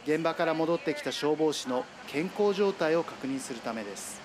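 A man's voice: on the high side, animated, moderate pace, crowd noise. A man reading scripted narration for a television news report as its reporter. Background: background music.

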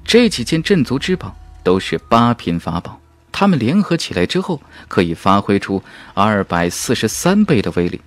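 A man reading a fantasy novel as an audiobook narrator, in a dramatic, measured and emphatic storytelling manner. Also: on the low side, very animated, no echo.

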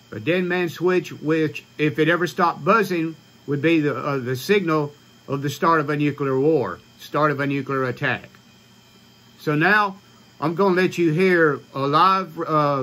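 A man in his sixties with an American accent, explaining informally to camera in a halting, matter-of-fact way. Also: a little high, sharp tone. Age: 60s. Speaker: ham radio vlogger. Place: small home radio room, indoors.

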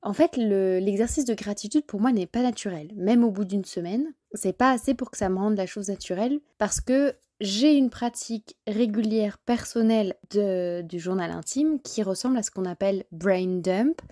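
A woman's voice: medium pitch, normal speed, hardly any pauses, dark tone. A French woman hosting a solo podcast, thinking aloud with many fillers and restarts as she reflects on an experience. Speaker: podcast host.

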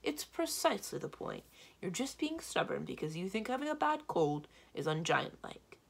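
A woman's voice: lowish voice, very animated, normal speed, gravelly, husky, full character voice. Woman as scolding, no-nonsense giantess wife. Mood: exasperated. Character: bossy, practical giantess wife, fond and scolding.

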